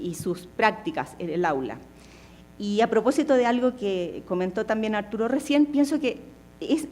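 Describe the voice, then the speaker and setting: A woman with a Chilean accent speaks as an academic panel commentator, her voice sitting a little higher than most. She thinks aloud, hedging and circling her points with frequent small fillers. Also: a little mellow. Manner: reflective, hedging, with many fillers.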